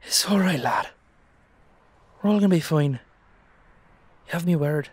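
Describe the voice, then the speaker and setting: A man's voice: a little high, lively intonation, measured pace, breathy edge, no echo. Man playing a protective Irish dullahan. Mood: reassuring. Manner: reassuring, colloquial. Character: protective, gruffly affectionate headless dullahan man, brotherly. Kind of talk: audio roleplay drama.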